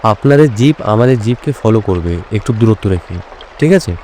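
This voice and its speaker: An actor playing a brisk, commanding private detective in an audio drama, giving crisp, decisive instructions. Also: male voice, on the low side, animated, warm tone, slight background noise, lightly acted.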